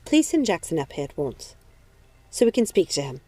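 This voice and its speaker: A woman's voice: on the low side, animated, moderate pace, dark tone, no room sound. A woman voicing an older aristocratic mother, testy, commanding and composed, in an audiobook story. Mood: gentle. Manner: commanding, reproving.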